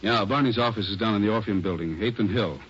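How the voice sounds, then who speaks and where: A brisk, authoritative detective chief played by a male actor gives terse direction in a very low voice. The vintage radio recording is dull and clearly noisy. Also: a bit bright, lightly acted. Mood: brisk, commanding. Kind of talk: 1940s radio acting.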